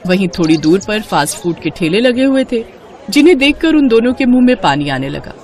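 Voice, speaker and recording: A woman's voice: mid-range voice, very animated, fairly quick, a little mellow, some chatter behind. A woman narrating a scripted moral story for children.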